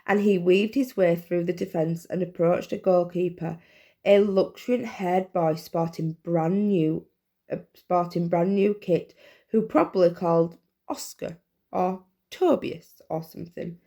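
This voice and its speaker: Woman reading a kids book out loud, voice a bit on the low side. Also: unhurried. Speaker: reader, teacher. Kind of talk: children's storybook read aloud.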